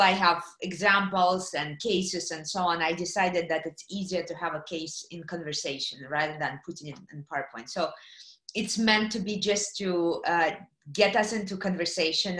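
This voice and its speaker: A middle-aged woman from Kazakhstan, giving a lively webinar talk on a video call, with many fillers and questions to her audience. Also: fairly low pitch, roomy sound. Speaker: guest speaker. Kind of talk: webinar presentation. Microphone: computer mic on video call.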